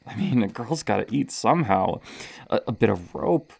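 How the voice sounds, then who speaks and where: A woman's voice: rumbling low, expressive, moderate pace, vocal fry, a little mellow, full character voice. A woman playing a sadistic, playful serial killer in an ASMR horror roleplay, teasing and menacing, with a hesitant stammer and drops into whispers. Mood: smug amusement.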